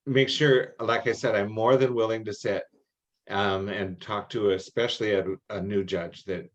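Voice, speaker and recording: A man's voice: lowish voice, fairly even intonation, moderate pace, dull audio. An older, retired American man explaining things in a meeting, emphatic and given to repeating words for weight. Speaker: workshop presenter and judge trainer.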